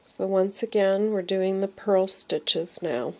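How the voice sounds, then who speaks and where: A woman's voice: mid-range voice, takes it slowly, warm tone. A woman teaching knitting step by step, sounding congested from a cold and repeating each move patiently. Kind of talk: slow step-by-step craft tutorial.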